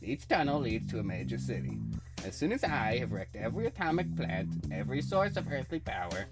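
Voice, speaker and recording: Gloating comic book supervillain, bitter and grandiose, swoopy delivery, music behind. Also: male voice, medium pitch, big pitch swings, brisk. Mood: menacing triumph. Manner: theatrical, grandiose, menacing. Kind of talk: dramatic comic book reading.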